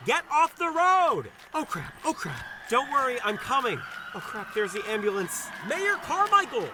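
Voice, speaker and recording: Man playing an anxious, animated young doctor. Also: very high-pitched, moderate pace, very noisy, exaggerated voice. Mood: panicked. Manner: rambling, flustered, hesitant muttering to himself. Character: anxious, awkward, self-scolding young male doctor. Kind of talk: audio drama dialogue with first-person inner monologue.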